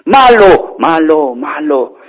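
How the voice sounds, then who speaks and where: A man voicing a mysterious echo from a dark cave in a story, repeating single words that fade away. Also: a little high, big pitch swings, slow, voice pushed up, heavily acted. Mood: harsh echoing. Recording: dull band-limited radio phone line.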